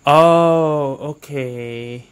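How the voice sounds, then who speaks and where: Unhurried streamer chatting over a game. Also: mid-range voice, smooth. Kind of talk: casual gameplay commentary.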